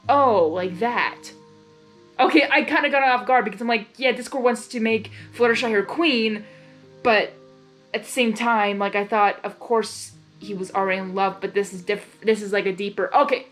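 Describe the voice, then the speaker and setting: A woman's voice: mid-range voice, a bit bright. A woman hosting and narrating a story reading expressively, with casual asides. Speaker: host and narrator of a blind reading.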